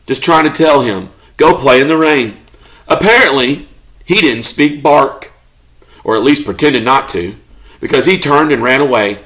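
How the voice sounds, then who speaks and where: A man voicing a good-natured, grumbling pet beagle telling his own storybook tale in an expressive, mock-indignant way. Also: mid-range voice.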